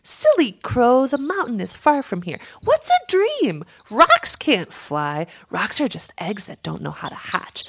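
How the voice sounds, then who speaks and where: A woman voicing small, chattering, excitable swifts in a storybook, with repetitive excited exclamations. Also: fairly high pitch, a little slow, few pauses, a little hiss or hum, voice pushed up, exaggerated voice. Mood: scoffing. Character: flock of small, chattering, excitable, panicky female-voiced swifts.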